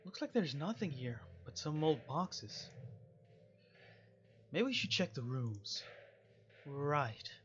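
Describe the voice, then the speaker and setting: A man's voice: on the high side, big pitch swings, slow pace, long pauses, dull audio. A man doing casual, rambling let's-play commentary, reading game dialogue aloud and adding jokey asides. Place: at home.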